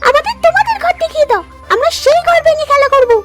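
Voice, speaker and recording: Tiny cartoon crow chick, extremely high voice, childlike, eager, loud music behind. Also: female voice, expressive, fast talker. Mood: eager, mischievous. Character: mischievous young crow chick, playful little wrecker. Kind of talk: cartoon story voice acting.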